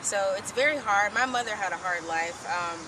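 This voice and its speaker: Young woman author in her twenties, Creole first language, friendly and halting, recorded outdoors off-mic with street noise. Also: mid-range voice, far from the mic. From Haiti. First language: Haitian Creole. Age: 20s. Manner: friendly, hesitant with fillers. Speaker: author, guest. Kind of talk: casual interview answers. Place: outdoors in a city.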